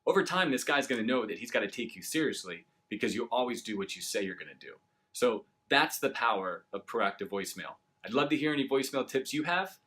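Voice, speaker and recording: A man's voice: mid-range voice, expressive, brisk, a bit bright. A man coaching viewers in a conversational, explanatory way, checking in with short rhetorical questions as he gives an instructional business tip. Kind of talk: instructional sales tip to camera.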